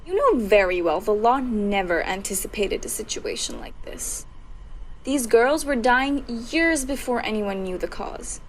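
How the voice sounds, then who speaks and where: A woman's voice: a little high, expressive, unhurried. A young woman acting a righteous, resolute social reformer in a student radio drama. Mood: indignant. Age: young adult. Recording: faintly noisy home recording.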